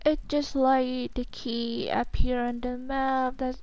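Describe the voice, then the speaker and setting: A woman's voice: high voice, little pitch movement, slow, darkish tone, clean tone, dry recording. A woman commentating on her own gameplay, talking casually to herself in short phrases. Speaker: gamer commentating a playthrough. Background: video game music and sounds.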